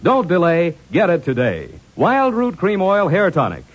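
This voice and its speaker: A man's voice: high-pitched, huge pitch swings, unhurried. A man announcing a 1940s radio advertisement in an emphatic salesman's style.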